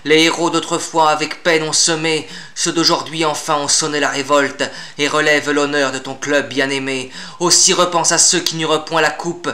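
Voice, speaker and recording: A man's voice: on the high side, little pitch movement, moderate pace, hardly any pauses, bright, forceful tone. A man reciting formal classical verse in a solemn, declamatory and grandiloquent manner. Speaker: poet reciting own poem.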